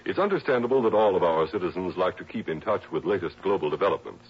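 Very deep, husky male radio announcer. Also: lively intonation, quick pace. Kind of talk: 1960s scripted radio promo announcing. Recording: muffled old radio recording.

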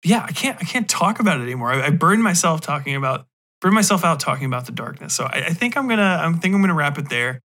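A man's voice: fairly high pitch, animated, quick pace, pushed and bright. A man hosting a podcast, giving a casual, unscripted review in a rambling, emphatic way. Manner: rambling, emphatic, profane slang. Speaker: podcast host.

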